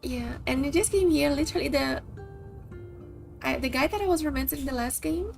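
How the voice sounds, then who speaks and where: Woman, Brazilian accent, native Portuguese speaker, rambling stream chat, high voice, vocal fry. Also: fairly quick, a little mellow. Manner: chatty, rambling, many likes and kinda. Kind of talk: casual live-stream chat. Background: faint game music.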